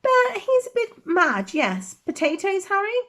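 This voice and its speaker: A woman's voice: extremely high pitch, huge pitch swings, a little slow, a bit bright, voice pushed up, heavily acted. A woman voicing a pompous, airy teenage boy prefect in a children's storybook.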